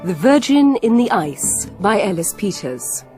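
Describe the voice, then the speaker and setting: A woman's voice: mid-range voice, sing-song, unhurried, a little mellow. A woman with a British accent making a formal, scripted announcement as a radio announcer. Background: music.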